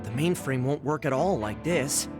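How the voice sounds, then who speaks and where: A man's voice: medium pitch, lively intonation, moderate pace, lightly acted. An actor playing a practical young male tech expert in an anime game, matter-of-fact. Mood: focused, matter-of-fact. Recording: music clearly behind the voice.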